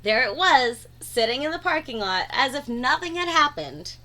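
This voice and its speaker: A woman's voice: sits high, big pitch swings, normal speed, bright, forceful tone. A woman reading a children's storybook aloud expressively, drawing out words for dramatic emphasis. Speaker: read-aloud narrator.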